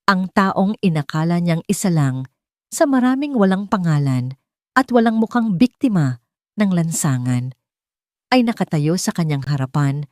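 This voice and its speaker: A woman narrating a scripted audio drama, telling it slowly and dramatically. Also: on the low side, darkish tone, dry recording, clean studio sound. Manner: slow, dramatic, measured storytelling.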